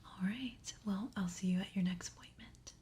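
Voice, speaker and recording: A woman whispering in a breathy, gentle and reassuring way, speaking as an examiner in an ASMR medical roleplay. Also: medium pitch, moderate pace. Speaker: ASMR creator playing a gentle ear examiner.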